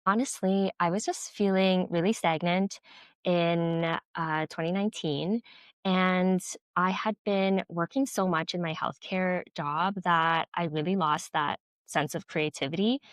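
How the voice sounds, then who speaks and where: A woman's voice: on the low side, measured pace, dry recording, clean studio sound. A young adult Canadian woman, a podcast guest, talking casually with lots of fillers and easy laughter. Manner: chatty, laughs often, lots of "like" and "honestly". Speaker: podcast guest, content creator. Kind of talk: casual conversational interview.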